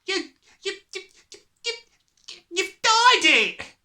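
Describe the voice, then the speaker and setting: A woman voicing a shrill, scornful middle-aged British mother who scolds and nags in a storybook. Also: big pitch swings, takes it slowly, bright, forceful tone, full character voice. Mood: shrieking scorn. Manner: shrill, exclamatory, nagging.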